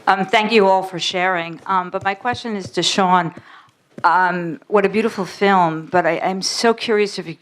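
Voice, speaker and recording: A woman's voice: mid-range voice, normal speed. A woman in the audience asking the director a question, appreciative and hesitant, with many "um"s. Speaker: audience member. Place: screening auditorium with audience.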